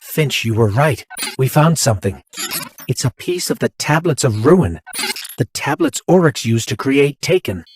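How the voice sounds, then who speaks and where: A man playing an earnest, inquisitive game companion who advises a warrior, in a medium-pitched everyday voice. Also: lively intonation, normal speed, noisy background, natural voice. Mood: alert, serious. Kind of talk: video game character dialogue.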